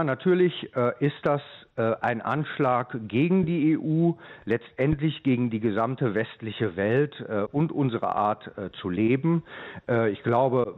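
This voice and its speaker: A man speaks over a narrow telephone line in a low voice. He answers as an interviewee on live news, hesitating often as he forms his sentences. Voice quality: hesitant delivery, frequent pauses. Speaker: political official and eyewitness giving a phone interview.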